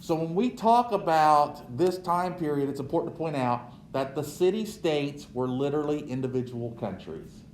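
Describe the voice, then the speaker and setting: A man giving an unhurried history lecture as a teacher, checking in with "right?" as he goes. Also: a little high, lively intonation, reverberant, slight background noise, rough recording. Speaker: social studies teacher.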